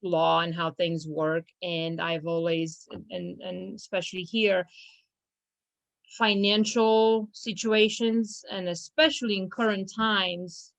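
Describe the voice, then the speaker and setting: A young woman from Romania speaks in a casual interview, her voice sitting on the low side. She hesitates often, restarting phrases and filling gaps with ums. The sound is dry and close. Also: level tone, slow pace, no room sound. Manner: hesitant, many fillers and restarts, laughs easily. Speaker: law firm business manager.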